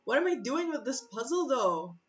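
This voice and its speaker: A woman's voice: sits high, sing-song, moderate pace. A woman whose first language is Russian, chatting casually and thinking aloud while solving chess puzzles, often interrupting herself and laughing. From former USSR. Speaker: chess streamer. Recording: slightly distant, muffled mic with faint music. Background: faint music.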